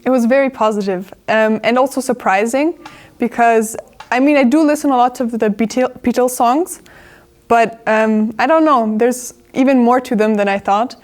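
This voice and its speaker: A woman's voice: a little high, moderate pace, darkish tone. A teenage girl of Croatian and Italian background giving an earnest interview answer, with hesitant fillers. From Croatia and Italy. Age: teens.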